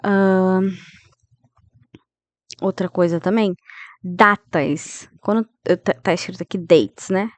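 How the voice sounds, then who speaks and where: A Brazilian woman in her thirties teaching English grammar, who keeps checking in with her students and slows down to model English phrases. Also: mid-range voice, steady tone, no room sound. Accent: Brazilian Portuguese. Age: 30s. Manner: didactic, checks in with listeners often. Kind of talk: grammar lesson.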